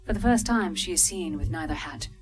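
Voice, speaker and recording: A woman reading stage directions at a live script reading, brisk and amused, with the odd slip. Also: lowish voice, lively intonation, heavy background noise. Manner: brisk, amused, occasional slips.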